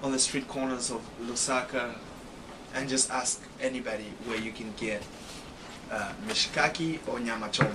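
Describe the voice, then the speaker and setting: A man from Zambia, answering interview questions in a lively way with many repeated "you know" phrases. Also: medium pitch, normal speed. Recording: distant mic with background noise.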